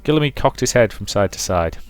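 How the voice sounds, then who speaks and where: A man's voice: on the low side, expressive, a little fast. A man hosting a let's play, moving between casual commentary and reading a visual novel's story aloud. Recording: roomy echo with music behind. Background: game music.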